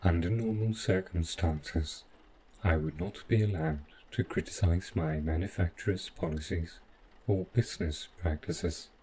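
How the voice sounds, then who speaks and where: Man voicing a slow, deep, possessive robot butler. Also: dark tone, a little hiss or hum. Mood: calm, explanatory. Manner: formal, measured, stilted politeness. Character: overprotective male robot butler gone rogue, calmly possessive. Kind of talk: scripted audio roleplay addressed to the listener.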